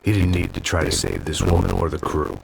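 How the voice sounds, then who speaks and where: An actor playing a world-weary middle-aged ex-cop, narrating a video game in a gruff, stumbling, regretful voice. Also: male voice, low voice, level tone, a little fast. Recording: game cutscene with loud noise.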